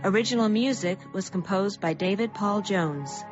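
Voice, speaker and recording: A woman announcer reading scripted radio credits in a measured announcing style. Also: medium pitch. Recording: dull audio over clear music.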